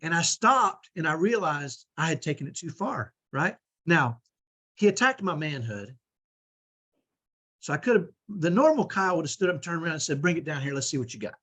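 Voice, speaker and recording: A middle-aged man with a strong, powerful voice, coaching parents in an energetic, emphatic way and often checking in with "right?". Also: on the high side, fairly quick. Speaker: host.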